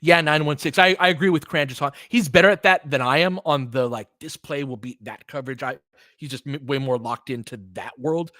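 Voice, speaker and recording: A man's voice: on the high side, a little fast, sharp tone, no echo, clean studio sound. A man giving live basketball play-by-play mixed with casual, long-winded analysis in a conversational, explanatory way.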